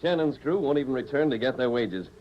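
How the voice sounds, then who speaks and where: An actor playing a calm, assured American masked cowboy hero in a 1940s radio western. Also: male voice, mid-range voice, brisk, lightly acted. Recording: dull old radio recording, faint noise.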